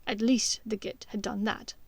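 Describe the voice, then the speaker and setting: A woman reading scripted fiction as an audiobook narrator, in a measured, expressive storytelling manner. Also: medium pitch, no echo.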